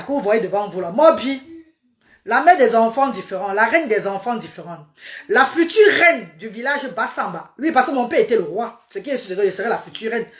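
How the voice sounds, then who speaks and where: Emphatic Cameroonian woman preaching live. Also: on the high side. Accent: Cameroonian French. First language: Medumba. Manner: emphatic, repetitive, exhorting. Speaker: live-stream host. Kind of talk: preachy live-stream testimony.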